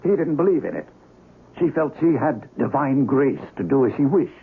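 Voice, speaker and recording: An actor playing a grave, learned investigator of the supernatural in a radio mystery, speaking formally and deliberately. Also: male voice, medium pitch, sing-song, gravelly. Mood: grave, knowing. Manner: precise, formal, deliberate, theatrical. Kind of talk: radio mystery drama acting. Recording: noisy dull radio broadcast recording.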